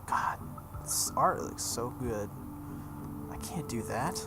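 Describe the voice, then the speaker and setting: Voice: mid-range voice, lively intonation, slow pace, vocal fry, over music. A let's play host reading visual novel text aloud dramatically, breaking off into casual reactions.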